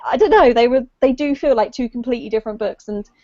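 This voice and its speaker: Woman w/ mellow highish voice, casual book chat, kinda tentative, dry dull lo-fi sound. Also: fast, dry recording. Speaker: book discussion panelist.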